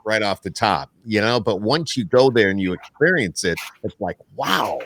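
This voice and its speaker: A man's voice: fairly low pitch, expressive, normal speed, a bit bright, no room sound. An American man hosting a casual interview, sharing his opinions with enthusiasm. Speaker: podcast host.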